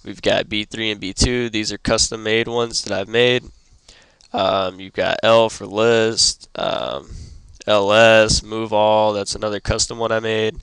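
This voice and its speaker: A young man who is sick and losing his voice, hosting a casual computer tutorial and stopping often with ums and throat clearing. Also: fairly low pitch, little pitch movement, unhurried, fairly bright tone, no room sound, rough recording. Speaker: tutorial host. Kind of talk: casual screen-recorded tutorial.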